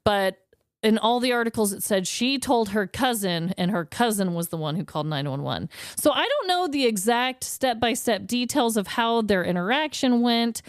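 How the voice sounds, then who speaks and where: Lively, chatty woman podcast host. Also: on the high side, moderate pace, dry recording, studio quality. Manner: chatty and animated, laughs easily. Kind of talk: casual chat.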